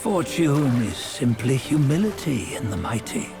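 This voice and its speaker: Slow, creaky, scornful old sage. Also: male voice, mid-range voice, big pitch swings. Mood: solemn, philosophical. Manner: grave, deliberate, sententious and disapproving. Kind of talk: scripted video game cutscene dialogue. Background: music.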